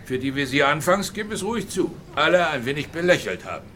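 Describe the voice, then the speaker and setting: An actor playing a jovially official police superior in a German radio drama, addressing a group with hearty mock formality. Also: male voice, on the high side, very animated, a little fast, sharp tone, a little hiss or hum.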